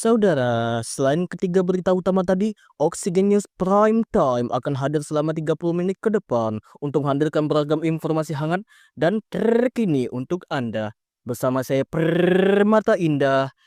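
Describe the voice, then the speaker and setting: A man's voice: a little high, animated, normal speed, a little mellow, dry recording, studio quality. A man anchoring a television newscast in a formal scripted style. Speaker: news anchor. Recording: music bed behind the voice.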